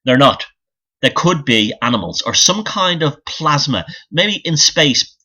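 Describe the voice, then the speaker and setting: A man's voice: on the high side, big pitch swings, unhurried, bright, forceful tone. A man giving an unscripted, opinionated monologue in a blunt, scornful, sweary manner, often repeating phrases for emphasis as he gropes for the next point. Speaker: YouTube commentator and author.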